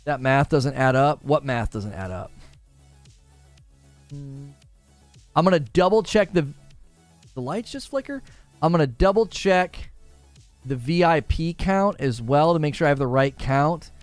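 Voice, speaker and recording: A man with a smooth, clear and slightly high voice hosts a gaming livestream. He talks informally and slangily, speaking straight to his viewers as he reads out their comments. The sound is close and dry. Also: expressive, a little slow, pauses often, no echo, soft background music. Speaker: gaming podcast host and livestreamer. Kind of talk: casual livestream chat, reading viewer comments aloud.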